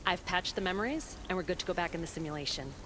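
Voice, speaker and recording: A woman's voice: lowish voice, very fast, natural voice. An actress playing a caring but anxious woman doctor, earnest and reproving, in a video game cutscene. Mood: conciliatory. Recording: background noise, game ambience.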